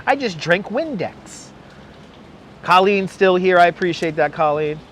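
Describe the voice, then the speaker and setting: A man from Brooklyn with a faint New York accent chats his way through a live street stream, rambling and greeting viewers by name. His voice sits a little high. He talks into a clip-on mic outdoors, with soft city traffic humming behind him. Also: lively intonation, measured pace. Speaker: livestream host. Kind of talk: casual live-stream chat while out in the city. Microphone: wireless clip-on mic. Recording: street noise in the background.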